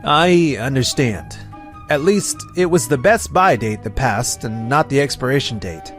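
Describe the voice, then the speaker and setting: A man voicing a calm, easygoing young fish supplier in a comic dub, reassuring and unruffled. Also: medium pitch, measured pace, soft background music. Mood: calm, assured. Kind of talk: dubbed comic voice acting.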